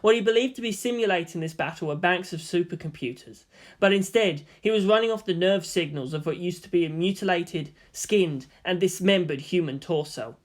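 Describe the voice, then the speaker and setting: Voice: mid-range voice, brisk. A narrator reading scripted fan fiction prose as an audio story.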